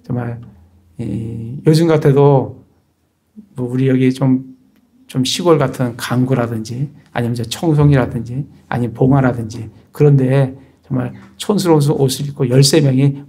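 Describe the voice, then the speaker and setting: A man preaches in a mellow, warm tone at an ordinary pitch for a man. He talks to the congregation like a conversation and keeps asking rhetorical questions. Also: breathy edge. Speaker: pastor. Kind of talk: sermon.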